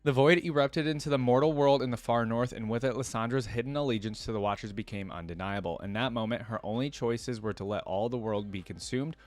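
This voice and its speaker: A man's voice: mid-range voice, fairly quick, few pauses. A man hosting a gaming lore reaction series, switching between reading aloud and informal commentary as he thinks aloud. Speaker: host of a video game lore reaction series.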